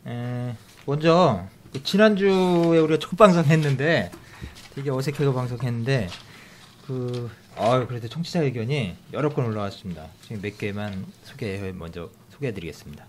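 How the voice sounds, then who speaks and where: Halting middle-aged man hosting a podcast. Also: medium pitch. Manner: halting, many fillers and restarts, reads listener comments. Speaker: podcast host. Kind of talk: casual podcast chat and news briefing.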